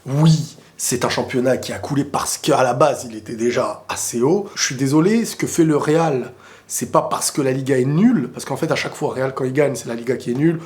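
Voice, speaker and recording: A man with a cold and a sore throat, talking casually and excitably about football to his viewers, often rambling into quick asides. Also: medium pitch. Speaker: football video creator answering viewer questions. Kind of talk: casual opinionated football talk to camera.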